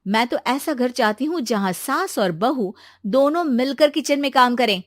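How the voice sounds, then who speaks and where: A high, girlish voice put on by a woman storyteller for an eager young bride-to-be in a moral story. She is chatty, animated and outspoken. The sound is close and dry. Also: moderate pace, dry recording. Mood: exasperated.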